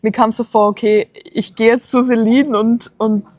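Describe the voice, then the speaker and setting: A woman in her twenties chatting casually and agreeably with a friend, often laughing softly as she talks. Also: fairly high pitch, steady tone, normal speed, no echo, clean studio sound. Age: 20s. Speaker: trader and channel host. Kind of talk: casual chat.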